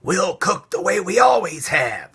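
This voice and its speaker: A man voicing a bossy, snappish cat in a children's storybook, with a drawn-out delivery. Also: high-pitched, big pitch swings, creaky, fairly bright tone, husky, pitched above the performer's own voice, heavily acted.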